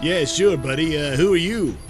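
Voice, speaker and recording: A man voicing a brash, excitable spaceship crewman who is slangy, loud and blunt, in a space comedy. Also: a little high, moderate pace, music in the background, voice pushed up, close to an everyday voice. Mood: puzzled.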